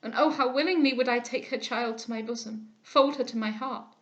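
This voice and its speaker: A woman's voice: on the high side, fairly quick, fairly bright tone, lo-fi sound, close to an everyday voice. A woman reading aloud, voicing a remorseful aristocratic father with impassioned, declamatory outbursts. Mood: anguished. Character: remorseful aristocratic father, older man, anguished.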